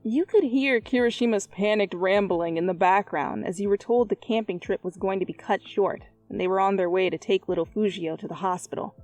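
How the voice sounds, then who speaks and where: A woman's voice: mid-range voice, brisk, hardly any pauses, a little mellow, soft background music, rough recording. A woman narrating fan fiction as a dramatic storyteller in an ASMR style.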